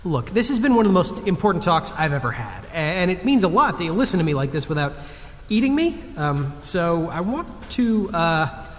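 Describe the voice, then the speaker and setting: An awkward, honest everyman, high and jumpy in pitch, in a reverberant space. He is anxious to please, halting between words and then blurting. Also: sing-song, slight background noise. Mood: nervous, confessing. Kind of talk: audio drama acting. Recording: long echoey reverb, music underneath.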